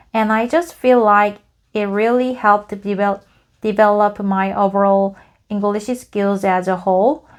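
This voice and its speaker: A woman with a Korean accent, an English learner reading a podcast script aloud for practice, carefully restarting and repeating phrases. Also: medium pitch.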